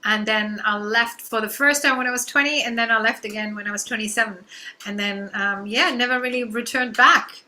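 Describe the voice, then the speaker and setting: German-accented woman in her thirties, guest coach on a video call; piercingly bright, slightly high voice, eager and filler-heavy. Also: steady tone, brisk. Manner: enthusiastic, many fillers like "um" and "you know". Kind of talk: casual video-call interview.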